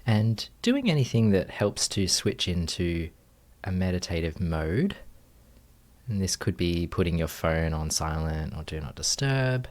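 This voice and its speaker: Slow, low-voiced man guiding meditation. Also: expressive. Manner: gentle, unhurried, pausing between short phrases. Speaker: meditation teacher. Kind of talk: guided meditation.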